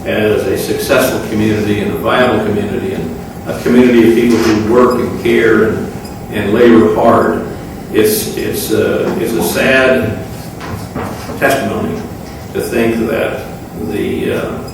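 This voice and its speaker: Halting man in a very echoey room. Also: fairly low pitch, fairly even intonation. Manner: halting, aggrieved, solemn. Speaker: local official at a public meeting. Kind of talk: extemporaneous public remarks. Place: public meeting room. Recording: very echoey room, distant mic, clear noise.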